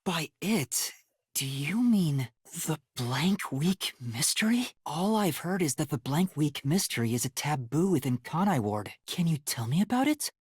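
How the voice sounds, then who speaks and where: A soft, breathy boyish video game detective, earnest and timid, with a polite, hesitant way of speaking. His pitch swings widely from line to line. The recording is very dry and close. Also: lively intonation, measured pace, dry recording. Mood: concerned, inquisitive. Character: earnest, timid young male detective trainee. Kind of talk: English video game voice acting.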